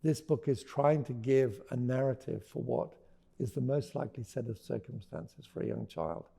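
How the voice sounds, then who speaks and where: Creaky, mellow male storyteller. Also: medium pitch, normal speed. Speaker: author and storyteller. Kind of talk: expressive picture-book read-aloud and informal explanation.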